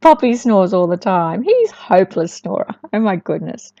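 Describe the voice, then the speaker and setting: A woman's voice: mid-range voice, very animated, moderate pace, creaky, dark tone, no room sound, lo-fi sound. A woman telling a picture-book story to young children, with chatty asides and words repeated for emphasis.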